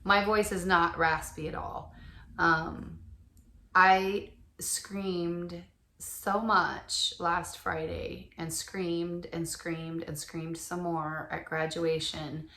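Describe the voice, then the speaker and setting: A middle-aged woman, a little hoarse from shouting, co-hosting a casual podcast and gently asking questions. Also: fairly low pitch, steady tone, extremely slow, echoey room. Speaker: podcast co-host. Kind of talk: casual chat.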